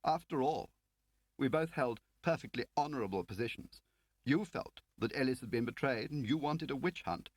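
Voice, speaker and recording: A man's voice: medium pitch, lively intonation, moderate pace, dry recording, pitched above the performer's own voice, lightly acted. A man voicing a haughty, pompous senior government official, in an audiobook. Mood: defensive. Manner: pompous, drawling emphasis. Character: haughty, fussy senior government official, upper-class Englishman.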